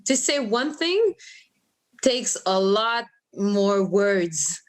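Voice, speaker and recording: A woman's voice: medium pitch, animated, drawn-out words. A young adult woman from Quebec, a native French speaker with a strong Quebec French accent, answering as a guest singer in an interview, hesitant with many fillers and frequent laughs. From Quebec, Canada. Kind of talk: interview conversation.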